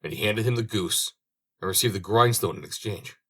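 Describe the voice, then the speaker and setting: Husky man reading a fairy tale expressively. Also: fairly low pitch, lively intonation, fairly quick, pushed and bright. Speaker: audiobook reader. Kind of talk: expressive storybook narration.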